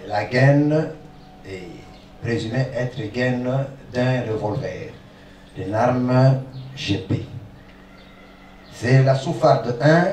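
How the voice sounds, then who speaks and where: A man speaking as a prosecutor in court, formally and deliberately itemizing evidence with emphasis. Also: mid-range voice, a little mellow. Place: large indoor courtroom. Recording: echoey courtroom, distant mic, faint noise.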